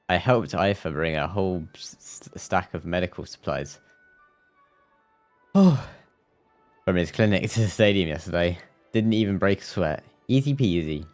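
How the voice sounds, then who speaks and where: Low-pitched man streaming a game. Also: darkish tone. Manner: joking, stumbles over read lines. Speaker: live streamer. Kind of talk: game livestream, reading dialogue aloud and commenting. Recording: game music behind.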